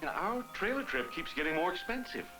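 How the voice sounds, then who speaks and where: An actor playing a mild, fretful husband, earnest and a little hesitant, in a 1970s educational film. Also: male voice, sits high, very animated, fast talker, poor audio. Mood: worried. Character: mild, fretful husband worried about expenses. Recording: 1970s film soundtrack with music.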